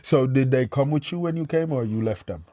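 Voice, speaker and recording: Male podcast host, mid pitch, casual and affirming interviewer who keeps encouraging the guest. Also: brisk, dark tone. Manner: affirming, constant encouraging backchannels.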